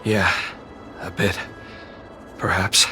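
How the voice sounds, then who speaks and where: A man's voice: low voice, flat delivery, takes it slowly, pushed and bright, exaggerated voice. Weary, steadfast male warrior, music behind. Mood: weary resignation. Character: stranded male warrior, weary, steadfast, idealistic resistance fighter. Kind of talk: dramatic video game voice acting.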